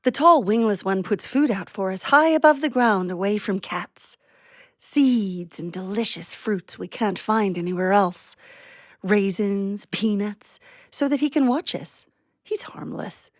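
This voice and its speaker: Woman voicing a little warbler, proud, observant, mid-pitched, simple phrasing, dry studio sound, audio drama. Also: unhurried, no room sound, close to an everyday voice. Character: small, proud, watchful songbird, a warbler.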